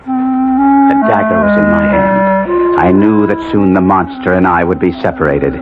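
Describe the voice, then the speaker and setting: Passionate young gothic nobleman, low voice, intense and halting, old muffled radio drama with music. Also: very animated. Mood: grim, frenzied. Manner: theatrical, halting, archaic and intense. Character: passionate young Italian nobleman recounting his own horror tale. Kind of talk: 1970s radio drama acting, first-person gothic narration. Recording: muffled 1970s radio recording, music behind.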